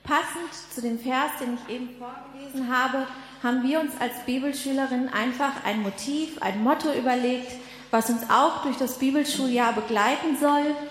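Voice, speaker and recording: A nineteen-year-old woman, a Bible school student, calmly explains the group's motto in a prepared talk. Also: high-pitched, moderate pace. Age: late teens. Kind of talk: prepared presentation. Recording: echoey hall.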